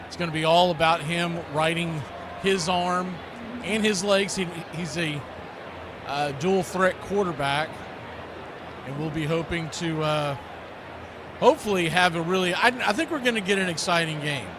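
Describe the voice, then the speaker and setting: A man's voice: a little high, normal speed, background noise. An American man hosting a live football watch party, chatting casually and in a folksy way as he previews the game.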